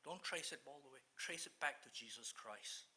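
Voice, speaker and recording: Bright-voiced Malaysian preacher. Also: male voice, medium pitch, lively intonation. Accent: Malaysian English. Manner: earnest, halting, restarts mid-phrase. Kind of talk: sermon with Bible reading.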